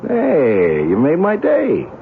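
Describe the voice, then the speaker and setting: An actor playing a brash, skeptical American newspaperman in an old-time radio drama, talking colloquially in halting questions. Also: on the high side, huge pitch swings, vocal fry, full character voice. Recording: tinny, band-limited radio recording, faint noise.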